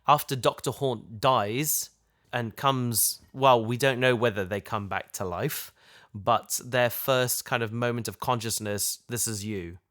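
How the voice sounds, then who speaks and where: A man hosts a podcast in a casual, conversational style. His voice sits at an average pitch for a man, and he talks as the creator of the show, recording at home. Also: measured pace, a bit bright. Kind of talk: casual chat. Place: in his house.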